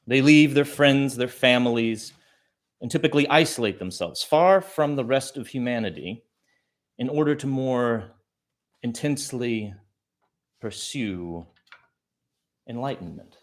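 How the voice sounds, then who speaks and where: A man with a mid-pitched voice hosts a storytelling session. He narrates deliberately, breaking sentences into short phrases and pausing between them in the manner of a bedtime tale. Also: expressive. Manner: deliberate, phrase-by-phrase delivery with pauses. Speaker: storyteller host.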